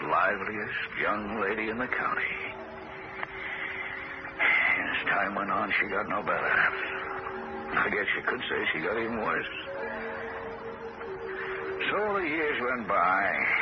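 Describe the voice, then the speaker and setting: An actor playing a shy young man in love, in a radio drama, stammering gently and earnestly, and also narrating. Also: deep voice. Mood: wistful narration. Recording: muffled old radio broadcast with loud hiss.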